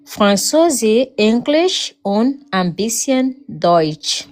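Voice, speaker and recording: A woman of thirty from Liberia, whose first language is Mandinka, introducing herself carefully in a language class with hesitant pauses. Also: fairly high pitch, sing-song. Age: 30s. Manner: careful hesitant learner, pauses between phrases. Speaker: language student.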